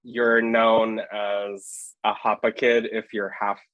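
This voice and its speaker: A man's voice: on the low side, level tone, slow, a bit bright, dry recording, highs cut off. Chatty, rambling man from Hawaii. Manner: chatty, rambling, full of fillers. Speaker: podcast guest, street photographer. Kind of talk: casual podcast chat.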